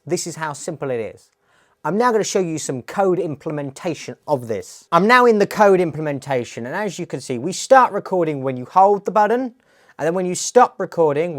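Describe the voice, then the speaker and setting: A man's voice: a little high, darkish tone. Man explaining a tech project step by step. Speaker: tech tutorial presenter. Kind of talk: explanatory demo narration.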